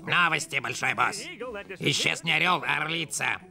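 A man voicing a grumpy, combative eagle in a dubbed cartoon, gravelly and blustering. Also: fairly high pitch, moderate pace. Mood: urgent. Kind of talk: cartoon dub. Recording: music under the voice.

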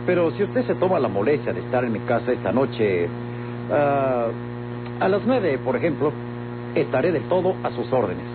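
A man's voice: medium pitch, sing-song, moderate pace, lightly acted. Scheming, outwardly courteous landowner villain, played by a male actor, Mexican accent, vintage radio drama with thin sound and music. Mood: smooth, courteous. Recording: tinny vintage radio recording with hum, music.

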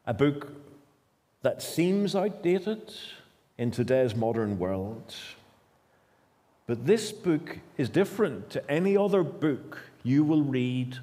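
A man's voice: mid-range voice, dark tone. A man in his fifties with a Northern Irish accent, preaching an emphatic sermon at a lectern in a church hall. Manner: emphatic, repetitive preaching with sudden bursts of speed. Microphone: stand mics at lectern, far camera.

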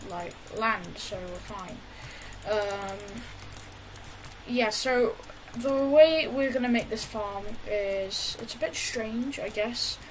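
A woman casually talking through a building tutorial over gameplay, halting often with fillers and restarts. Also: medium pitch, slight background noise, poor audio. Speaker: gaming tutorial creator.

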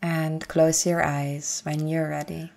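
A woman leading a guided meditation in a soothing, gentle, unhurried manner with long pauses. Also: low voice, little pitch movement, vocal fry. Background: forest nature sounds with birds.

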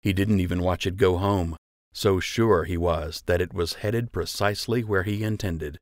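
A man's voice: sits low, steady tone, moderate pace, dry recording, clean studio sound. A man narrating scripted fiction as an audiobook reader.

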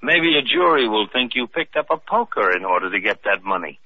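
An actor playing a brisk, skeptical police lieutenant, in 1940s radio acting style. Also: male voice, fairly low pitch, sing-song, vocal fry, full character voice. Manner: brusque, businesslike. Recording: tinny old radio recording.

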